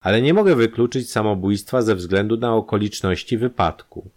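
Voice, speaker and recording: A man's voice: on the low side, normal speed, darkish tone, voice pushed up, lightly acted. A man voicing a cautious male police commissioner in a crime audio drama. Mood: questioning.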